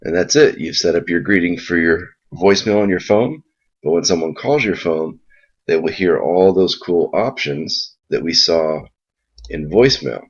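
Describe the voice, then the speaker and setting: A man giving an informal, explanatory software walkthrough in a casual spoken style. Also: sits low, lively intonation, normal speed, slightly rough. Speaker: software tutorial presenter. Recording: dull lo-fi mic sound.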